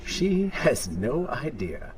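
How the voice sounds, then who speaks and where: An actor playing a sinister inner voice in a video game, a vengeful dark alter ego who is sardonic, menacing and coaxing. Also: male voice, mid-range voice, big pitch swings, slow, slight background noise. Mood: scornful. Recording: music behind.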